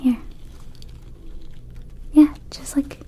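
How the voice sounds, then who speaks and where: A woman voicing a gentle vampire dispensary keeper in a whispered ASMR roleplay, soothing and unhurried, with childlike wording. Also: a little hiss or hum. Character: gentle female vampire dispensary keeper offering magic herbs.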